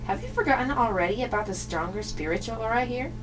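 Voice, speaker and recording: A woman voicing a calm, wise older sister spirit in a puppet show, patiently giving advice. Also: a little high, fast, recorded from a distance, soft background music, rough recording. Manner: patient, advising.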